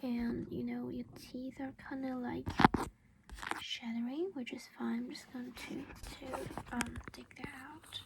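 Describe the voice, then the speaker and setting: A woman whispering in a gentle, reassuring, halting way, in an ASMR roleplay as a caring vampire mother. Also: high-pitched, flat delivery, heavy background noise. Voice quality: breathy whisper. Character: caring vampire mother doing a dental checkup.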